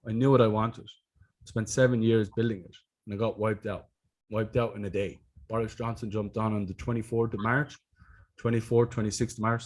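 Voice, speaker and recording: A man's voice: on the low side, a little mellow. An Irish man in his thirties, a podcast host telling his story in a casual, blunt and sweary way over a video call with a desk mic. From Ireland. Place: home room on a video call. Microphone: desk microphone on a video call.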